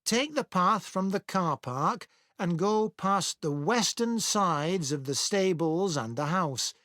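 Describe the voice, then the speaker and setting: Woman as slow, lilting visitor guide. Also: fairly low pitch, lively intonation, a bit bright, no room sound, studio quality. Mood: helpful. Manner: clear explanatory, helpful. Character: friendly organised tour guide briefing volunteers. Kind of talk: scripted listening-test monologue.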